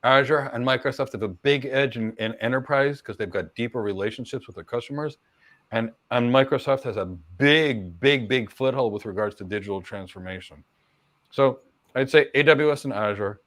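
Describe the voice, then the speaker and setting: A middle-aged man answers viewer questions in a live Q&A with easy confidence. His voice sits at a middle pitch, and he talks in long runs, repeating words and adding quick asides as he coaches on careers. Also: fairly bright tone. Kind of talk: live Q&A, casual explanatory talk.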